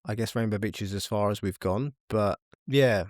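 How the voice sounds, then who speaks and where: A man's voice: on the low side, normal speed, no echo, clean studio sound. A British man in his thirties, a guest in a casual interview, speaking frankly and often repeating words as he thinks. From United Kingdom. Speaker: podcast guest.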